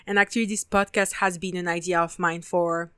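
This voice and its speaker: Chatty French woman with a smooth voice. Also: on the low side, little pitch movement, brisk, bright, forceful tone, no echo, studio quality. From France (Paris). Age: 20s. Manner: chatty and talkative, casual swearing, frequent laughter. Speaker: podcast host. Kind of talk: casual solo podcast monologue.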